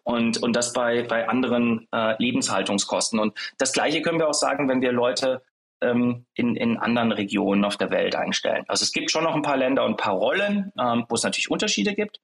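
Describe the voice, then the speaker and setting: A man's voice: medium pitch, brisk, creaky. A man being interviewed about his company, speaking with many hesitations and fillers. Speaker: startup founder guest.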